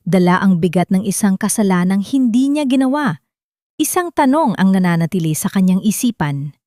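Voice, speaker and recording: A woman's voice: medium pitch, a little mellow, no echo, clean studio sound. A woman reading a scripted dramatic story as an audiobook narrator.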